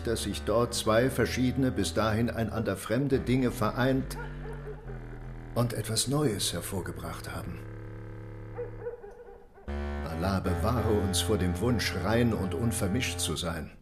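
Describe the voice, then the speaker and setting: An actor in a radio drama playing a wise master of miniature painting, measured and philosophical in manner. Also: male voice, fairly low pitch, over music, lightly acted. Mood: composed, probing.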